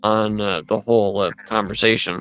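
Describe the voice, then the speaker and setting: A man's voice: lowish voice, little pitch movement, moderate pace, a bit bright, clear, resonant tone, dull audio, soft background music. A man in casual chat, rambling as he retells events with lots of asides.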